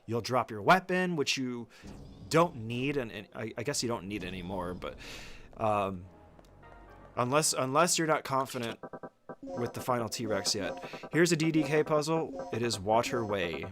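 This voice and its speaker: A man's voice: mid-range voice, expressive, moderate pace, music in the background. A man giving an informal, step-by-step speedrun tutorial as casual gameplay commentary, swearing freely.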